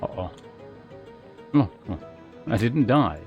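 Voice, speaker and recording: A man's voice: fairly low pitch, lively intonation, slow, phone-quality sound, over music. A man giving casual, rambling gameplay commentary as a streamer, thinking aloud as he plays. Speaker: game streamer.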